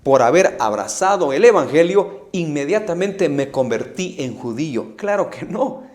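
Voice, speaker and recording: A man's voice: fairly high pitch, expressive, normal speed, darkish tone. A middle-aged man with a Guatemalan Spanish accent, preaching an emphatic Bible study to camera, using rhetorical questions and repetition. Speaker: evangelist preacher.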